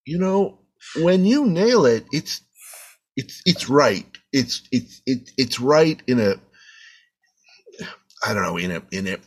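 A man playing an anxious, self-doubting musician in an improvised scene, speaking hesitantly and repeating himself in a gravelly, husky voice. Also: medium pitch. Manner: hesitant, repetitive muttering and sighing. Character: anxious, self-doubting male musician steadying his bandmate. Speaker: actor in an improvised theater scene. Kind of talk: improvised monologue and dialogue acting.

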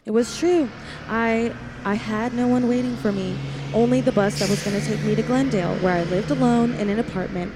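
A woman's voice: on the high side, fairly quick, heavy background noise, lightly acted. A woman playing a young, lonely drive-in waitress in a 1940s-style radio play, confiding and wary. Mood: wistful, confiding. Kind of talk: 1940s radio play acting, first-person narration.

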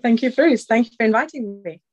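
A woman's voice: a little high, fairly quick, darkish tone, no echo, dull audio. A middle-aged woman from Zimbabwe speaking casually as a guest in an interview. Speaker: podcast guest, procurement director.

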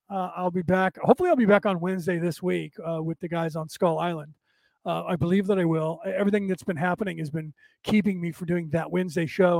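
A man hosting a long spiritual talk show, his voice hoarse and raspy, rambling and emphatic as he talks straight to his listeners. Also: sits high, darkish tone, no room sound. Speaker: show host. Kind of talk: late-night spiritual talk show monologue.

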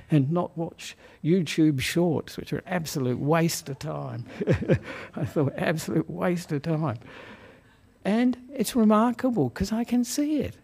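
A soft-spoken Australian man giving a gentle Dhamma talk as a Buddhist monk, chuckling often and pausing on fillers. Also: on the high side, vocal fry, husky. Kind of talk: relaxed Dhamma talk to a lay audience. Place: meditation hall of a Buddhist society.

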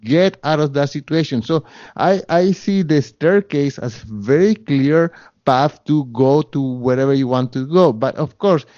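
A man's voice: a little high, a little slow, darkish tone, no echo, dull audio. A man with a Spanish accent, a guest in an interview, talking earnestly with many fillers and restarts. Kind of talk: interview conversation.

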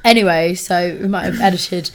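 A young woman from England co-hosting a podcast in casual chat, hoarse and tired, giggly and rambling, swearing freely. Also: on the low side, normal speed, noisy background. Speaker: podcast co-host.

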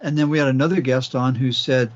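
A man's voice: mid-range voice, steady tone, fairly quick, lo-fi sound. A man co-hosting a casual podcast chat, hesitant and full of fillers as he reads listener questions. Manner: hesitant, many fillers and restarts. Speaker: podcast co-host.

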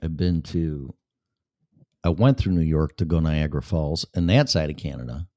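A man's voice: very deep voice, normal speed, warm tone, dry recording, studio quality. A man with a Chicago accent hosting a casual chat show, rambling and blunt, with plenty of swearing. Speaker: show host. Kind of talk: casual livestream chat with viewers.

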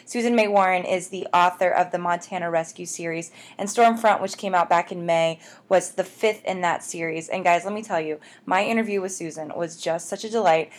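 A woman's voice: on the low side, fairly quick, hardly any pauses. An American woman hosting a podcast in a casual, chatty style, with a gushing, enthusiastic manner. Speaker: podcast host.

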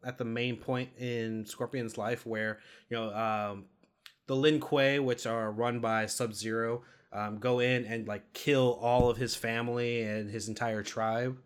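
A man co-hosting a podcast, giving a casual review and explaining things in a hesitant way, pausing mid-thought. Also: medium pitch, steady tone, clear, resonant tone. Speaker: podcast co-host reviewing a film.

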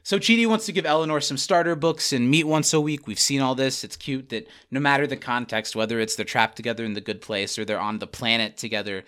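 A man's voice: medium pitch, quick pace, few pauses, a bit bright, smooth. A young man hosting a podcast, casually recapping a TV episode and retelling scenes with quoted lines. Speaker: podcast host.

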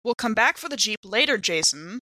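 Bright, animated woman playing a tabletop game. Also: mid-range voice, a little fast, dry recording. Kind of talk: casual game-table chat.

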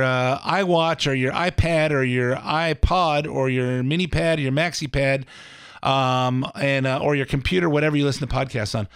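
A man's voice: medium pitch, a bit bright, clean tone, no echo, studio quality. A middle-aged man hosting a talk radio show with a slightly scratchy voice, rambling in a folksy way with fillers and restarts between ads for his mortgage business. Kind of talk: casual political talk radio monologue.

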